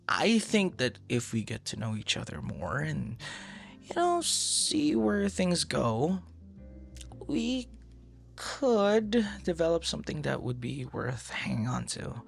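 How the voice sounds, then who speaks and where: Guy doing a smitten boyfriend asmr stranger, gravelly soft murmur, slow and halting, tender. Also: mid-range voice, full character voice. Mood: flustered, earnest. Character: smitten, flustered young male stranger turned romantic suitor. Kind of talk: intimate ASMR boyfriend roleplay.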